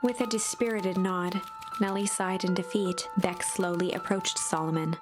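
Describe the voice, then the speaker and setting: A woman's voice: fairly low pitch, steady tone, moderate pace. A woman reading scripted, literary storybook narration for a video game. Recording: loud music behind the voice.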